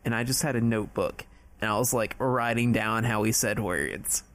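A goofy young adult with a gravelly voice, chatting casually and laughing often on a podcast. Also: mid-range voice, moderate pace, a bit bright. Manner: goofy, playful. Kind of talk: casual chat.

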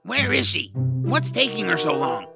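Man acting a whiny, creaky-voiced reluctant young hero. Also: sits high, very animated, a little slow, loud music behind the voice, exaggerated voice. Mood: grumbling. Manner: complaining, exasperated. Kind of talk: audio drama acting.

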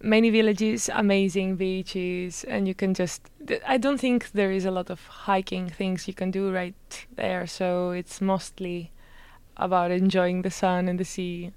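A woman's voice: mid-range voice, steady tone, measured pace, darkish tone, smooth, no room sound. A young woman from northern Greece, co-hosting a student radio talk show in casual, laughing chat. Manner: laughs often, chatty.

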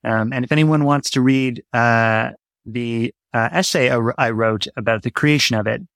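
A man's voice: medium pitch, moderate pace, gravelly, fairly bright tone, no room sound. Tentative male podcast guest, dry sound. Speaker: podcast guest, writer and creator. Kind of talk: casual reflective interview talk.

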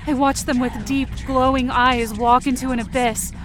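High-voiced woman narrating suspenseful fiction. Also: flat delivery, normal speed, slight background noise. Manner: suspenseful, intense delivery. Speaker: fiction podcast narrator and performer. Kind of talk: first-person dramatic scripted narration. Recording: music bed under the voice. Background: dramatic background music.

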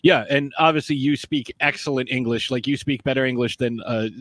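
A man's voice: mid-range voice, fairly even intonation, fairly quick, a bit bright. Rambling male podcast host. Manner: rambling, lots of fillers and restarts. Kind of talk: casual interview chat.

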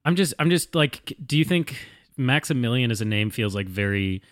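Male panelist, mid-pitched, rambling comic chat, thinking aloud, recorded close and dry. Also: lively intonation, fairly quick, dry recording. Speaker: podcast panelist.

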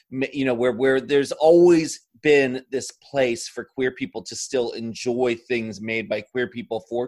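A man speaking as an interview guest in a casual podcast conversation, thinking aloud in a discursive way with frequent filler phrases. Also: mid-range voice. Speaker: interview guest, drag performer.

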